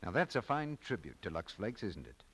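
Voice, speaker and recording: A man's voice: lowish voice, big pitch swings, moderate pace, husky. An American man working as a commercial announcer, reading radio advertising in 1940s style.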